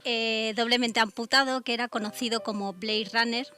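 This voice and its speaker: A woman's voice: fairly high pitch, steady tone, normal speed, bright, forceful tone. A woman giving factual background as a radio co-presenter. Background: faint background music.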